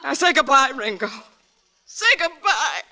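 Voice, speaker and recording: High female voice, slow and pleading, tender Western heroine, 1940s radio drama, music underneath. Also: animated, sharp tone, exaggerated voice. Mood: resigned, sad. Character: tender, worldly frontier woman in love with a gunfighter.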